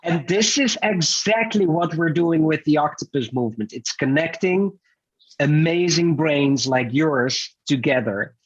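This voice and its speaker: A man's voice: on the high side, dull audio. A man from the Netherlands, a guest on a casual video call chat, talking in an upbeat, enthusiastic way.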